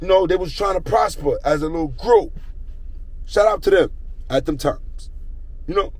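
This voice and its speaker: A man's voice: high voice, animated, normal speed, no echo. A young man telling a rambling street story in slang, circling back to a repeated catchphrase.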